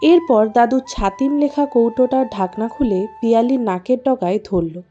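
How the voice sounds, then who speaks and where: A woman narrates a scripted story in a mellow, fairly high voice. The recording is slightly dull. Soft music plays behind her reading. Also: moderate pace.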